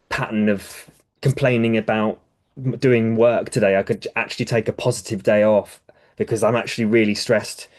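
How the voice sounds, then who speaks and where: A man's voice: on the low side, a little mellow. A man speaking as a podcast guest, explaining ideas thoughtfully with frequent restarts. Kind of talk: casual podcast conversation.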